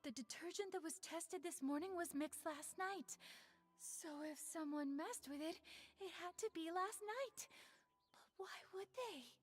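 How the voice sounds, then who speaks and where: A woman's voice: very high-pitched, unhurried. A woman voicing a young, flustered yet determined investigator in a video game. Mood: flustered, puzzled.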